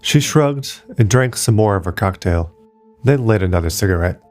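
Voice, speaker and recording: A man narrating a short science fiction story in a steady, measured way. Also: fairly low pitch, faint music behind. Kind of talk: scripted audiobook narration.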